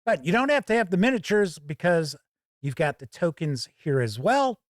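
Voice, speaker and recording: Male review host, slightly high voice, folksy and measured, emphatic phrasing, close dry studio sound. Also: big pitch swings, no echo. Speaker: host and reviewer of a tabletop gaming channel. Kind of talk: conversational product review to camera.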